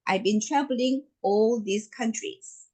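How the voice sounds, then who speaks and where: A woman's voice: a little high, a little slow, dark tone, poor audio. A middle-aged woman from Korea with a Korean accent, giving an emphatic, repetitive motivational business presentation as a network marketing presenter.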